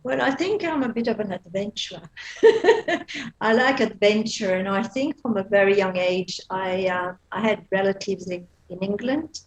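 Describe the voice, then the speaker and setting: A chatty woman in her fifties with a Belgian accent, speaking as an interview guest. She tells things in a lively, animated way, with frequent laughs, fillers and restarts, at a middling pitch for a woman. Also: moderate pace, poor audio. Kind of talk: casual interview chat.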